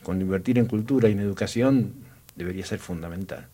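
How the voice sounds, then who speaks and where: A man's voice: deep voice, fast, dark tone. A man from Santa Cruz, telling stories and talking passionately about local culture in a casual chat. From Santa Cruz, Argentina.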